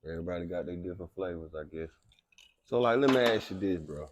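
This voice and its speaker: Deep-voiced podcast host, male. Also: quick pace, background noise. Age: middle-aged. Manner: slangy, frequent short affirmations. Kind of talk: casual interview chat.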